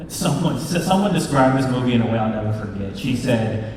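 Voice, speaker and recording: A man's voice: mid-range voice, expressive, fairly quick. A man in his thirties co-hosting a casual convention panel, talking in an informal, joking way. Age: 30s. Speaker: panel co-host, movie reviewer. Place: convention panel room with audience, indoors. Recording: echoey hall from far off, noisy.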